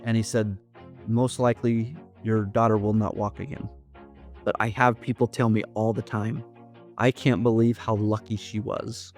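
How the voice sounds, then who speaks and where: A man from Idaho, a podcast guest, telling his life story in an earnest, halting way with many fillers and restarts. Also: on the low side, level tone, darkish tone, soft background music. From Idaho, USA. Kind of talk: storytelling interview.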